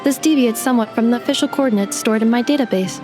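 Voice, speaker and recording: A woman's voice: on the high side, fast talker, close to an everyday voice. A woman voicing a polite young female robot who speaks in precise, formal, computer-like phrases. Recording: game audio with music behind.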